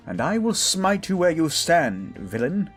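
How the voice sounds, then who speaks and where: A man's voice: fairly high pitch, sing-song, unhurried, slightly rough, soft background music, heavily acted. Man doing a grandiose, righteous fantasy hero. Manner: grandiose, declamatory. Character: righteous, bold adventurer proclaiming against villains. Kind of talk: fantasy audio drama acting.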